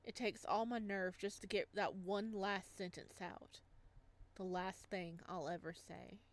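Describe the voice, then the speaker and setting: A woman's voice: medium pitch, steady tone, a little slow, lightly acted. A woman voicing an anxious, lonely young male ghost who narrates a visual novel in a hesitant, introspective way. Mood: anxious, subdued. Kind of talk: visual novel read-aloud, first-person narration.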